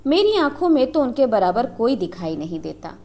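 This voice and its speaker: A woman's voice: on the high side, very animated, normal speed, darkish tone. A woman voicing a proud, devoted village wife. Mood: defiant. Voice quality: impassioned, lamenting.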